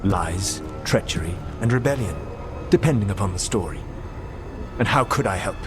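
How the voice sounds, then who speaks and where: An actor playing a proud, weary ancient elven trickster god in a fantasy game, speaking formally with dry sarcasm. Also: male voice, sits low, expressive, moderate pace. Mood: grave, dry. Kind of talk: cinematic fantasy game voice acting. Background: loud game music.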